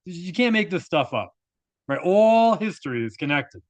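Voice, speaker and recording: A man's voice: sits high, sing-song, normal speed, no echo. A man narrating a video, reading an article aloud and adding informal, opinionated commentary with rhetorical asides.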